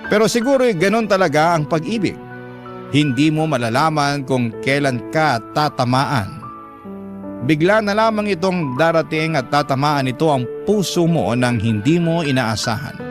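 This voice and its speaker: Filipino man narrating over music, slightly high. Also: expressive, moderate pace. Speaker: radio drama story narrator. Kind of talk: first-person dramatic narration of a letter. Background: background music.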